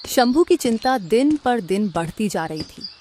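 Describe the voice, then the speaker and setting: A woman's voice: a little high, sing-song, a little slow, a little mellow, slight background noise. A woman reading scripted narration for a story as its narrator.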